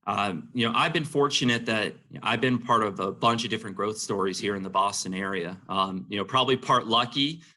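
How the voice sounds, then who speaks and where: Guy being interviewed, kinda low crisp bright voice, exec type, says you know constantly. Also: fairly quick, few pauses. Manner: peppers sentences with "you know". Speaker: senior marketing executive being interviewed. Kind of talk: conversational interview answers.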